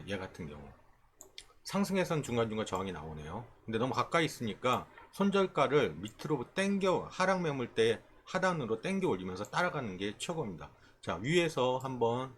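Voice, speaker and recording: A man's voice: mid-range voice, slight background noise. A man giving an informal, explanatory tutorial as an instructor, often checking in with short rhetorical questions. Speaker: stock trading instructor. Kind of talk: informal screen-share tutorial explaining stock charts.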